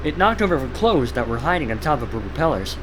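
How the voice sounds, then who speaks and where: A woman's voice: a bass voice, big pitch swings, fast talker, over music. A woman reading scripted narration for an audio play in a descriptive storytelling manner.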